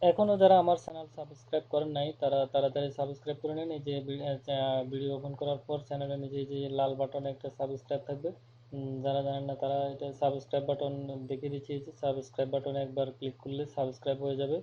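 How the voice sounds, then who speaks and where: Bangladeshi male tutorial host, very monotone, stop-and-start step-by-step explaining, faintly noisy homemade recording. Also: mid-range voice, lo-fi sound. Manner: halting, repetitive step-by-step explaining. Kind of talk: informal screen-recorded app tutorial.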